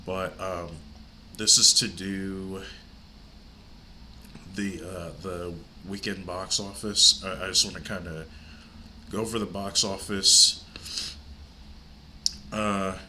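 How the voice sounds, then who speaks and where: A man's voice: sits low, level tone, takes it slowly, pauses often, sharp tone, slight background noise. An older man with a stuffy, congested nose, hosting his movie channel from home in a rambling, chatty way. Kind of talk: casual vlog chat.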